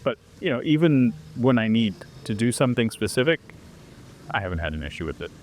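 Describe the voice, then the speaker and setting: Man, mid-pitched, animated guest telling stories in a casual interview. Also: a little fast, a little hiss or hum. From Canada. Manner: animated storyteller who acts out quoted exchanges. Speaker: interview guest, foreigner living abroad.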